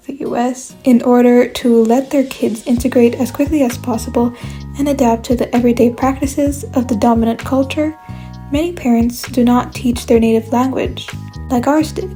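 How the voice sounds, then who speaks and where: A young woman reading a scripted video essay as its host, in a measured, even explanatory way. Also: on the high side. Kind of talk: scripted narration.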